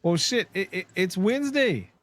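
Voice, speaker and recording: A man's voice: sits high, animated, fairly bright tone. Joking man co-hosting a podcast. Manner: joking, swearing, halting restarts. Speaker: podcast co-host. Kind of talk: casual chat.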